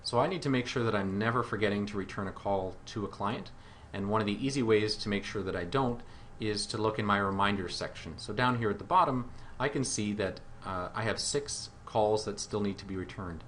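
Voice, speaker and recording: A man with a fairly low voice narrates a software tutorial. He explains things step by step in a conversational way, pausing hesitantly now and then. There is some faint noise in the recording. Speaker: software demo presenter.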